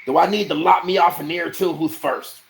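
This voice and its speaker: A man voicing a menacing, taunting knight with rough rustic speech, in a storybook reading. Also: fairly high pitch, fairly even intonation, normal speed, voice pushed up. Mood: threatening, taunting. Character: gruff, menacing, bullying man-at-arms knight. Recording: roomy echo, faint noise.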